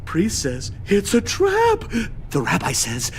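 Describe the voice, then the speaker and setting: An unhinged male henchman in a video game. The voice is extremely high and swings up and down, theatrical and sinister, full of giggly menace. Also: huge pitch swings, slow pace, a little hiss or hum, heavily acted. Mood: gleefully sinister.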